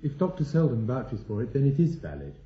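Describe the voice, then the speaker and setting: In a roomy, echoing recording, a man acts an earnest young British mathematician in a radio drama. His delivery swings widely in pitch, anxious and easily indignant. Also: big pitch swings, brisk, lo-fi sound, natural voice. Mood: guarded.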